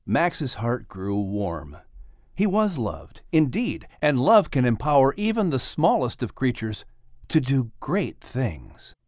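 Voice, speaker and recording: A man reading a children's story as an audiobook narrator, with expressive, dramatic delivery. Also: mid-range voice, takes it slowly, dry recording, clean studio sound.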